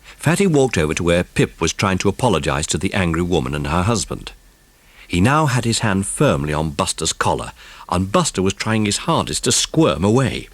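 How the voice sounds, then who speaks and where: A man's voice: deep voice, big pitch swings, normal speed, no room sound. A man narrating a dramatised children's storybook. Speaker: audiobook narrator.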